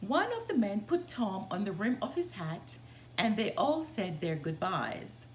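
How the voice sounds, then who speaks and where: A woman reading a children's story aloud as a storytime host, with expressive, deliberate delivery. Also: mid-range voice, a little hiss or hum, low-quality recording.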